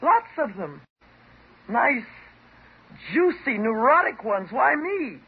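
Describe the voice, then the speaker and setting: An actress playing a bitter, wounded divorced housewife, sarcastic and dramatic, in the style of 1950s radio drama. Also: female voice, a little high, very animated, takes it slowly, breathy edge, full character voice. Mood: accusing, scornful. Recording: muffled, noisy 1950s radio recording.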